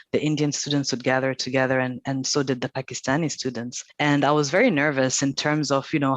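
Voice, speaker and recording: A woman's voice: extremely low pitch, dry recording. Reflective woman guest, very dry sound. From Tanzania. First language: Swahili. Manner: reflective storytelling with frequent fillers. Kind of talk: conversational interview.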